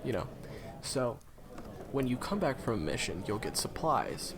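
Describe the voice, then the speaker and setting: A man's voice: mid-range voice, very animated, unhurried, background noise. A man playing a friendly, chatty guide to monster hunters in an audio roleplay, explaining things casually and reassuringly straight to the listener. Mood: helpful, explaining. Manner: casual, hesitant asides, explanatory. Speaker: voice roleplay performer. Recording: crowd chatter behind the voice. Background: busy crowd ambience.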